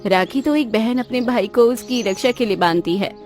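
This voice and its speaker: Earnest, high-voiced poor young sister acted by a woman. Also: normal speed, a little mellow, faint music behind, close to an everyday voice. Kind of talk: cartoon moral-story dialogue in Hindi.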